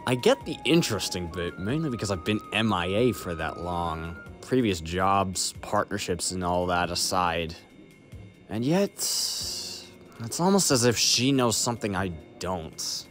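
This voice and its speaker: A wry, secretive male mercenary, voiced by an actor, speaking in a low register. His manner is dry and laid-back with a teasing edge, set in an audio roleplay over faint music. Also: animated, unhurried, a bit bright. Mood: guarded. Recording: music playing behind the voice.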